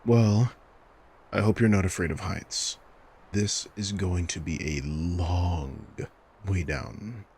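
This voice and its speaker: Sadistic male villain acted by a man, ASMR roleplay. Deep voice, unhurried delivery, cool menace under mock care. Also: fairly even intonation, darkish tone, slight background noise. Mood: menacing, amused. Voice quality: breathy, husky. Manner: slow, deliberate, taunting. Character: sadistic male villain, coolly menacing, mockingly caring captor. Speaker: audio roleplay voice actor.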